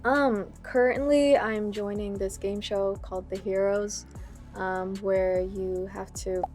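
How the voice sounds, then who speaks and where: A young woman from Vietnam, a singer talking about her music in a casual interview, with a relaxed, hesitant way of speaking full of fillers. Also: medium pitch, takes it slowly. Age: young adult. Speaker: singer guest.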